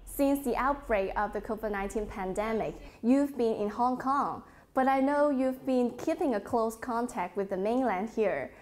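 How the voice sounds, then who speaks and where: Young woman hosting a tv interview, voice a bit high, smooth and prompting. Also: moderate pace, hardly any pauses, a little mellow. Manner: smooth, prompting, with short mm-hmm backchannels. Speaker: TV interviewer, host. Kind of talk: broadcast interview questions.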